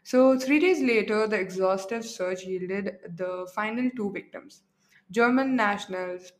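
A young woman from India hosts a casual true-crime conversation. She rambles, leans on fillers and cracks jokes between the grim details. Her voice sits in an ordinary middle pitch. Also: unhurried. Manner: rambling, filler-heavy, joking. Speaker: podcast co-host.

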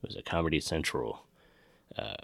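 Very deep gravelly man chatting casually. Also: a little fast, a bit bright, no echo. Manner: sweary, informal. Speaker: podcast co-host. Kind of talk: casual film chat.

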